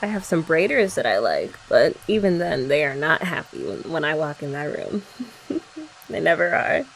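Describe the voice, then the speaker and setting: Young woman, ASMR roleplay, teasing girlfriend chat, gravelly edge, faint hum behind. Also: sits low, expressive, moderate pace, low-quality recording. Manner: playful teasing chat, soft-spoken. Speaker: ASMR creator. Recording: faint hair dryer noise behind.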